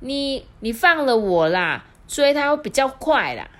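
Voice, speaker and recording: A curious little boy fox spirit stammers in a high, bouncing voice, as a woman storyteller puts him on. He pleads and asks questions eagerly. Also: big pitch swings, a little slow, a bit bright, heavily acted. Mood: pleading.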